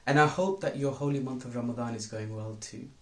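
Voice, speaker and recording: Man, mid-pitched, earnest storyteller, direct address to listeners, echoey room. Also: fairly quick. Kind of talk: reading a children's bedtime story aloud. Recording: roomy, echoing sound.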